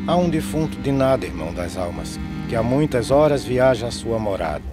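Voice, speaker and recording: A man's voice: medium pitch, lively intonation, brisk, natural voice. Man playing grave, resigned peasant pallbearer. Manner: solemn, rhythmic, dropping on refrains. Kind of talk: dramatic verse recitation in animated film. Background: music.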